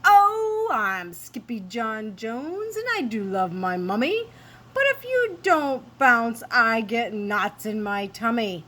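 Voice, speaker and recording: A woman voicing an excitable, boastful little kitten boy with dramatic mock-Spanish exclamations in a storybook reading. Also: a little high, takes it slowly, slight background noise, exaggerated voice. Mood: eager, bouncy.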